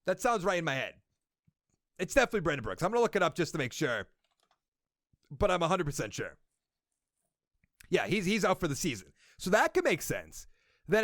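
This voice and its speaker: A man's voice: fairly high pitch, fairly bright tone, no room sound, studio quality. A young man with a Boston accent hosting a casual sports podcast, rambling and thinking aloud as he corrects himself. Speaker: podcast host.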